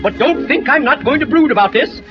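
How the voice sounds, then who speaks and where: Worked-up angry dad, really high blustery voice, old radio comedy, muffled with music behind. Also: male voice, lively intonation, fairly quick. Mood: indignant. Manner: blustering, agitated. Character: indignant, blustering protective father threatening violence. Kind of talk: 1940s radio comedy acting.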